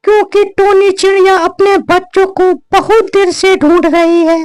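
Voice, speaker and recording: बेहद पतली, चीं-चीं करती तोते की आवाज़. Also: male voice, little pitch movement, moderate pace, no echo. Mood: asking. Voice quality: squeaky, artificially high. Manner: earnest, brotherly, polite. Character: helpful male parrot, caring and eager, cartoon bird.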